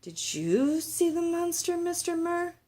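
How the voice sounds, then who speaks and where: A woman's voice: very high voice, big pitch swings, unhurried, darkish tone, pitched above the performer's own voice, full character voice. Woman voicing an eager, curious young schoolgirl. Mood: curious, anxious. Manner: eager, questioning. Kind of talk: children's storybook read-aloud.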